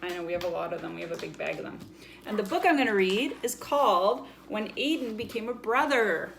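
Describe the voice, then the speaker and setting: Woman with creaky voice, chatting to children. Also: medium pitch, lively intonation, fairly quick, a bit bright. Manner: playful, explains simply, chatty. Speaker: children's story-time presenter. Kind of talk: casual show-and-tell for children.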